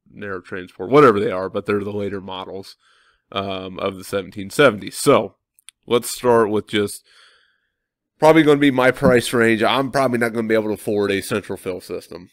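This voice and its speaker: Stuffy-nosed farmer talking viewers through. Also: male voice, deep voice, dry recording, clean studio sound. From Midwestern United States. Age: young adult. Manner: thinks aloud with many ums and self-corrections. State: stuffy nose from a cold. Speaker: farmer vlogger. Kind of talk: casual walkthrough talk to viewers.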